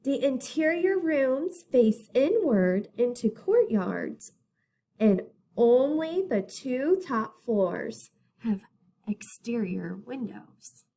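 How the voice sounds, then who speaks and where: A woman reading a picture book aloud as a teacher to children, in a deliberate, expressive storytelling manner. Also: a little high, gravelly.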